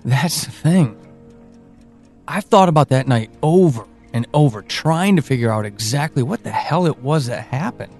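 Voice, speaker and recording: A man playing an evasive, uneasy friend in an audio drama, speaking in a halting, confessional way. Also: medium pitch, expressive, a little mellow, soft background music. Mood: troubled, insistent. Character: evasive male friend, uneasy, withholding a troubling memory.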